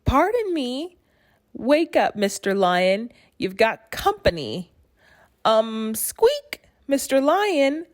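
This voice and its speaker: A woman's voice: a little high, sing-song, slow pace, no room sound, clean studio sound, pitched above the performer's own voice. A woman voicing a tiny, meek but plucky storybook mouse with a peeping, polite manner. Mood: nervous, polite.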